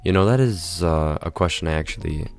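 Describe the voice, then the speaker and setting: A man's voice: deep voice, a little fast, soft background music. A congested man speaking casually to his viewers in a rambling monologue, tagging nearly every phrase with "right?". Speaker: vlogger.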